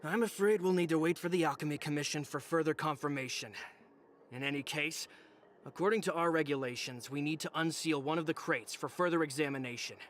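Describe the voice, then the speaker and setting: Game role: exasperated male inspector-craftsman male actor, lightly raised pitch, firm, procedural, short on patience. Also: fairly quick, a bit bright, slight background noise, close to an everyday voice. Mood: firm, explanatory. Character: testy, by-the-book male craftsman inspecting cargo. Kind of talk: video game voice acting.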